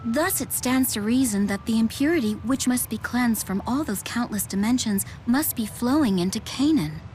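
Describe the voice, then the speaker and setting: A woman's voice: on the high side, expressive, normal speed, a little mellow, faint music behind, close to an everyday voice. An actress playing a composed, scholarly woman in a video game, explaining things precisely. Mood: analytical. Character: composed, scholarly, matter-of-fact woman advisor.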